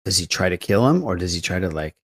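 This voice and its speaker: A man's voice: low voice, sing-song, fast talker, a bit bright, slightly rough. A man hosting a casual podcast, bantering and reacting to his co-hosts. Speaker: podcast host. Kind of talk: casual chat, moderating.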